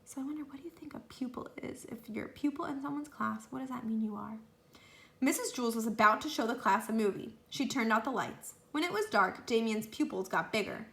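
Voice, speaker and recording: Echoey bright-voiced woman reading a storybook. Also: a little high, fairly quick, creaky. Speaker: children's book reader. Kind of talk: read-aloud storytelling narration. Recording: roomy echo.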